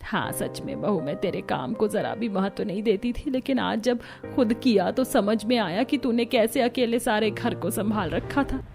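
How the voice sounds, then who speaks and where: An older mother-in-law from a family cartoon, played by a woman. The voice is plaintive and expressive, with faint music underneath. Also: mid-range voice, normal speed, creaky, few pauses. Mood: remorseful. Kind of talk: Hindi cartoon story acting.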